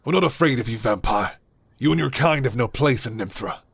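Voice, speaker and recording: A man voicing a defiant soldier captain in a dramatic roleplay, gruff, forceful and barking. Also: mid-range voice, expressive, brisk, no room sound. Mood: defiant, angry.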